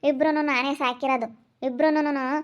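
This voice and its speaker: A woman's voice: sits high, steady tone, normal speed, natural voice. A woman acting a fond, gossipy old grandmother in a family drama, chatting in a rustic way about the past. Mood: reminiscing. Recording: dull lo-fi sound.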